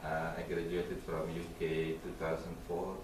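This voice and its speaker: Deep-voiced man, echoey hall. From Indonesia. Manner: halting, frequent fillers and restarts. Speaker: college professor speaking at public comment. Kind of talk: informal public introduction. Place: council meeting room. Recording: echoey room picked up from far off, steady noise.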